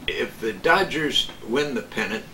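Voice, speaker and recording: An older man telling stories in a casual chat with a halting, hesitant delivery. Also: mid-range voice, expressive, pushed and bright. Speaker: veteran radio man as guest. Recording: distant echoey room with faint noise.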